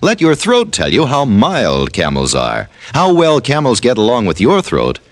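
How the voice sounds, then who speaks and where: Very animated American radio pitchman. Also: normal speed. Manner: emphatic, polished salesmanship. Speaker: radio commercial announcer. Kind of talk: 1950s radio advertising pitch.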